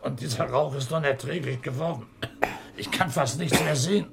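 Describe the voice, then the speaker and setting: A man acting a cautious onlooker at a séance in a radio drama, speaking in hushed murmured asides. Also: on the high side, normal speed, gravelly, slightly rough, full character voice. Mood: tense.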